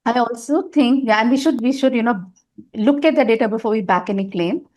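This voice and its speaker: A woman's voice: fairly high pitch, brisk, a little mellow. A middle-aged woman, a guest in a candid interview, talking at length with emphasis and frequent fillers. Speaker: interview guest, nonprofit governance advisor.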